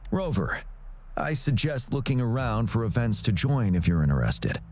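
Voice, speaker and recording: Dutiful male officer for a fantasy game, played by a man: mid-pitched, composed and formal, yet melodic in delivery, light music behind. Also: very animated, moderate pace, darkish tone, natural voice. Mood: composed, helpful. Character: dutiful, courteous young male military officer. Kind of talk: video game voice acting.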